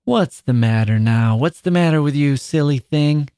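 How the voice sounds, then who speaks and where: A man voicing a tense young mother who speaks sharply with a forced gentleness. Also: fairly high pitch, lively intonation, measured pace, dry recording, studio quality, voice pushed up. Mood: agitated.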